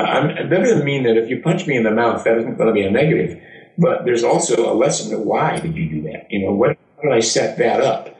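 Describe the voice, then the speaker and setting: An older American man, a voice actor, tells personal stories in an emphatic, animated way with dramatic pauses. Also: medium pitch. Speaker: voice actor and former radio announcer. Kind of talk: autobiographical storytelling to an audience. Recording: echoey room, distant mic.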